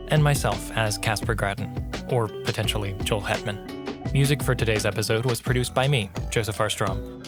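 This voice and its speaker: Music plays prominently behind a man hosting a podcast. He sounds congested from allergies and talks to his listeners in a casual, informal outro style. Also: lowish voice. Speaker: podcast host.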